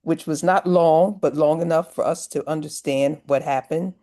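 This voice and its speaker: A woman's voice: low voice, steady tone, normal speed, warm tone. A woman giving earnest, emphatic feedback as an audience member on a video call. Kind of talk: earnest spoken comment.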